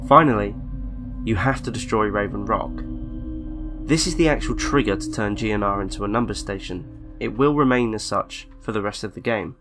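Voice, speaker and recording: A man reading a scripted online horror story in a measured, deliberate way, with pauses between phrases. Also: fairly low pitch. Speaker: narrator of a creepypasta video. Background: background music.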